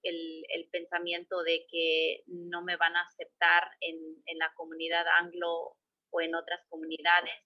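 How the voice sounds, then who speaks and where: A woman's voice: on the low side, completely flat delivery, a little slow, highs cut off. A middle-aged woman from the State of Mexico, a guest answering questions hesitantly, with many fillers and restarts. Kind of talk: conversational interview answers.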